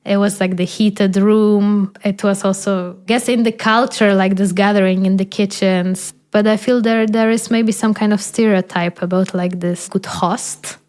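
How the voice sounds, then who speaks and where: A young woman from Poland, Polish her first language, speaks in a casual chat. Her voice sits in a middle range. She pauses and searches for words, with gentle fillers as she reflects. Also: mid-range voice, steady tone. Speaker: podcast host. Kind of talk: casual conversation.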